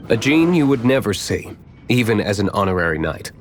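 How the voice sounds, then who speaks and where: An actor playing an aloof, secretive young man in a video game, with a dry, measured, curt delivery. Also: lowish voice, very animated, over music. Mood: composed.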